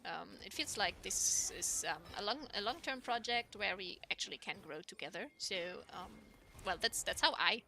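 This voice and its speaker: A young woman with a squeaky voice, casting a live game in a chatty way with lots of ums and laughs. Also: expressive, normal speed, gravelly, hardly any pauses, pushed and bright. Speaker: esports caster.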